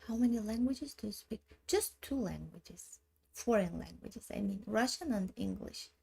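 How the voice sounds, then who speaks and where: A woman in her thirties from Armenia, who speaks Armenian natively, thinking aloud in a slow, halting way while she plays online chess. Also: medium pitch, expressive, gravelly, dark tone, slightly rough. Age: 30s. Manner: slow, halting, muttering moves half to herself. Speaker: chess streamer.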